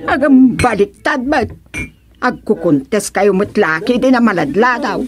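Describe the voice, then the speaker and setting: A woman's voice: fairly high pitch, lively intonation, unhurried. An actress playing a strict, scolding woman who leads a village prayer group, in an Ilocano-accented radio comedy-drama. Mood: scolding, nagging. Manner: scolding, complaining.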